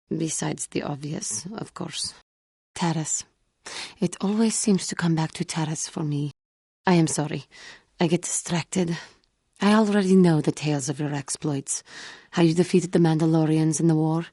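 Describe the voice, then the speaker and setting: An actress playing a proud but guilt-haunted female feline alien warrior in a video game, speaking formally and earnestly, with halting care. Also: low voice, mellow, husky, no echo, studio quality. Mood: shy, apologetic. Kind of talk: scripted video game character dialogue.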